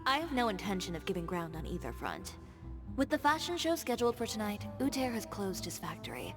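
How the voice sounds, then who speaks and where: A woman voicing a poised, shrewd fashion designer who talks calmly and confidently. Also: mid-range voice, close to an everyday voice. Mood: composed. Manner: calm, measured, confident. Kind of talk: game dialogue. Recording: game audio with music behind.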